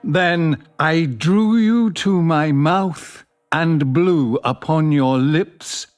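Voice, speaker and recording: A man playing an amorous, poetry-loving British lover in an audio drama, measured, romantic and wry. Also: on the high side, soft background music. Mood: tender, romantic.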